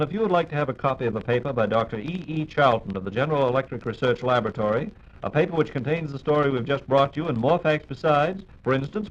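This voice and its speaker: An American man hosting a 1940s radio program in a polite, formal, scripted announcing style. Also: mid-range voice. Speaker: radio host. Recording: dull 1940s radio broadcast recording.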